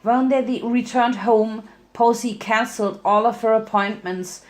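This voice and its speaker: A woman's voice: fairly high pitch, steady tone, unhurried. A woman narrating fan fiction as a dramatic reading, occasionally stumbling and correcting herself.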